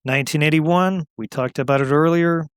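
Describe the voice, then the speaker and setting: A man's voice: fairly high pitch, fairly quick, clear, resonant tone, dry recording, clean studio sound. A man co-hosting a casual movie chat, easygoing as he runs through years and lists of films. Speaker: co-host.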